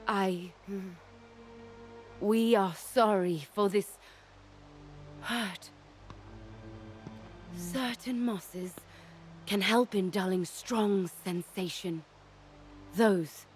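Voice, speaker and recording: A woman voicing a gentle, ancient tree-spirit healer in a video game, solemn and patient, speaking in halting, deliberate, oddly formal phrases. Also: mid-range voice. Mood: sorrowful, soothing. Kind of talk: video game cutscene acting.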